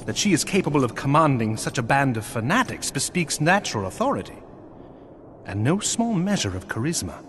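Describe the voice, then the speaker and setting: Man acting as an expressive, courtly fantasy nobleman. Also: medium pitch, fairly quick, a little hiss or hum, lightly acted. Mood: thoughtful, resolute. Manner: formal, archaic, courtly phrasing. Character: earnest, gracious nobleman commanding a snowbound knightly outpost. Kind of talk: scripted fantasy game dialogue.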